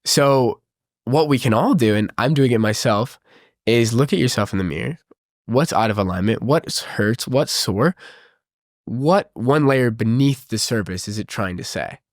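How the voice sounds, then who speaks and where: A man's voice: medium pitch, animated, moderate pace, no echo, studio quality. A man with a stuffy nose, talking earnestly and enthusiastically in a casual spiritual conversation. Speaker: podcast host.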